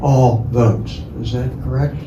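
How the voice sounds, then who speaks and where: A man in the audience asking a question slowly and hesitantly, with many pauses and fillers. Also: mid-range voice, fairly even intonation. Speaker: audience member. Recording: dull, echoey distant mic with noise.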